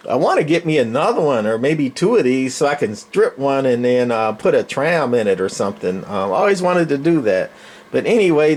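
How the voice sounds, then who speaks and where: A man's voice: mid-range voice, a little mellow. Middle-aged male hobby collector, mid-range voice, casual off-the-cuff narration, folksy and meandering. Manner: rambling, folksy, thinking aloud. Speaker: radio collector showing a collection. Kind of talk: casual, unscripted show-and-tell narration.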